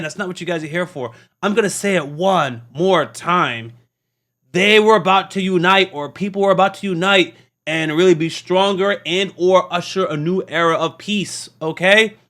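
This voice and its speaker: Man, reaction host, high voice, preachy, earnest, stammering restarts. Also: a bit bright. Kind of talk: casual rambling monologue.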